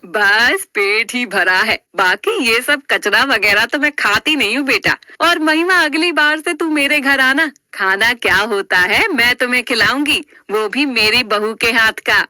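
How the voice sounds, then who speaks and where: A woman's voice: on the high side, unhurried, hardly any pauses, bright, forceful tone, no room sound. A woman voicing a haughty, gossiping middle-aged auntie who taunts pointedly in a family story. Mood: haughty taunting.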